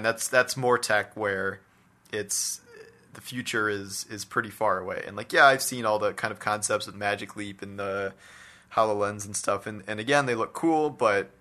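Bright-voiced man chatting casually. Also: lowish voice. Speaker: podcast co-host. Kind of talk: casual discussion of games and tech.

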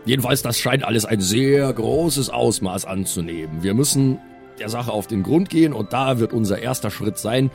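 A man's voice: mid-range voice, expressive, moderate pace, gravelly, a little mellow, faint music behind. A man who plays and hosts on a tabletop podcast, talking in improvised role-play and casual banter. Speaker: player and podcast host.

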